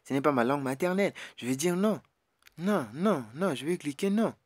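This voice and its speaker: A man's voice: a little high, lively intonation, normal speed, dry recording. Breathless chatty man, dry close mic. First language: not English; French preferred language. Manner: chatty, repeats himself, many fillers. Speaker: YouTube channel host giving an application tutorial. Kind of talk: casual screen-recorded walkthrough.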